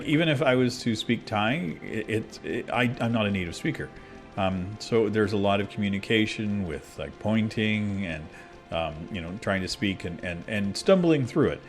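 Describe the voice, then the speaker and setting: A man with a fairly low voice talks while playing a game, rambling openly and circling back through fillers and false starts. Soft game music sits faintly under him. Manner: rambling and candid, many fillers and restarts. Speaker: gaming video creator. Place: abroad, recording at a computer.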